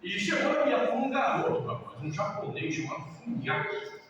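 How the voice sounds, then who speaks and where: Middle-aged man, pastor, lively storyteller; distant mic in a reverberant hall with noise behind. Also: very high voice, brisk, dull audio. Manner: lively storyteller, acts out quotes, laughs. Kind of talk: sermon-style talk with personal stories. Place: large church hall.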